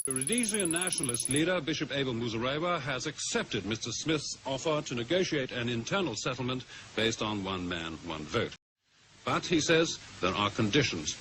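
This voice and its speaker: A man's voice: mid-range voice, lively intonation, normal speed. A man reading television news in a formal 1970s style. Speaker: news anchor. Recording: noisy, distant archival TV news broadcast.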